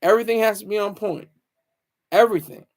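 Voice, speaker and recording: A man with a distinctly high-pitched voice hosts a casual live chat. He rambles along, answering viewers and restating his phrases over and over to drive them home. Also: fast, creaky. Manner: rambling, repeats phrases for emphasis. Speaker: livestream host.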